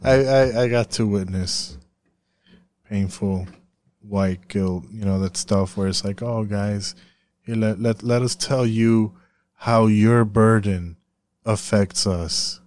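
A man's voice: lowish voice, steady tone, takes it slowly, a little mellow. A man from Puerto Rico in a casual, profane discussion, speaking emphatically and often circling back to repeat himself.

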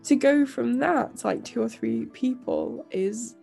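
A young woman co-hosting a podcast, croaky and nasal from illness, rambling casually with lots of fillers and restarts. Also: fairly high pitch, wildly swooping pitch, measured pace, warm tone, soft background music. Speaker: podcast co-host and author. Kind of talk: casual chat.

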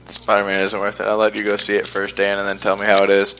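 A man's voice: fairly low pitch, completely flat delivery, fast, a bit bright. A young man chatting casually with friends, laid-back and teasing. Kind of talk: casual chat among friends.

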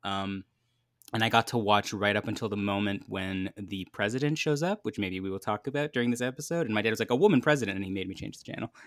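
A man's voice: lowish voice, fast, dry recording. A man in his thirties chatting on a podcast, telling a childhood story with frequent laughs. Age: 30s. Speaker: podcast co-host. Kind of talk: casual podcast chat.

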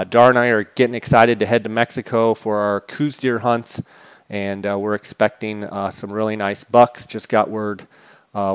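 Steady, low-voiced male podcast host. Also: clean tone, no room sound, lo-fi sound. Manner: casual, many filler "uh"s and restarts. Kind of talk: podcast intro with sponsor reads.

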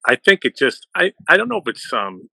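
A man's voice: medium pitch, sing-song, brisk, gravelly, sharp tone, husky, dry recording. A man with a Boston accent talking casually as a guest on a music discussion. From Boston area, United States. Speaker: panel guest, music fan.

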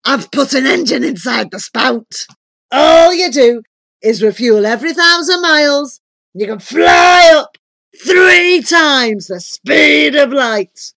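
A woman voicing a huge, jolly male giant wizard in a children's storybook, booming and exclaiming heartily. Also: expressive, takes it slowly, gravelly, a bit bright, dry recording, exaggerated voice. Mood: excited, jolly.

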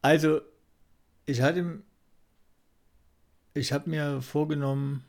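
Deadpan man with a creaky, gravelly voice. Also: a little high, takes it slowly. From East Germany. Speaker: comedy podcast co-host. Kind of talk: casual comic banter.

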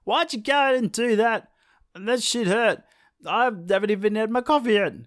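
A man voicing an angry, grumpy male sun god who shouts petulant, whiny complaints. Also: sits high, moderate pace, dry recording, studio quality, voice pushed up. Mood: outraged.

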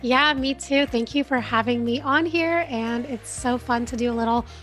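Young woman in her 20s, podcast guest, talkative, fairly high voice. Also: a bit bright, faint music behind. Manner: chatty storytelling, lots of "like", quick bursts. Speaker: podcast guest, health coach. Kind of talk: casual interview chat.

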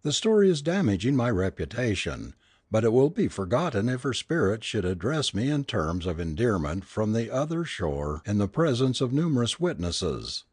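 A narrator plays a cruel male fraud of a spirit medium in a storybook melodrama. He sounds lofty and commanding, with a low, husky voice and sinister, lively intonation. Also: normal speed, no echo, studio quality. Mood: sinister, mocking. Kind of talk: dramatic audiobook character reading.

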